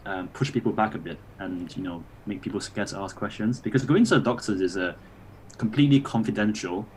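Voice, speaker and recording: A man born in Malaysia, a doctor guest on a podcast, explaining things in a measured way. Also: on the low side, darkish tone, a little hiss or hum, rough recording. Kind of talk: casual conversational interview.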